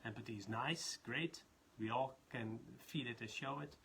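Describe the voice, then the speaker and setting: Dutch man, bright voice, rambling talk. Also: medium pitch, normal speed, creaky, a little hiss or hum. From Netherlands. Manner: rambling, many restarts and laughs. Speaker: leadership trainer. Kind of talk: casual live talk.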